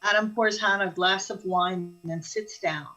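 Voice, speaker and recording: A woman's voice: mid-range voice, fairly even intonation, moderate pace, pushed and bright, dull audio. A woman reading scripted scene narration for a radio drama.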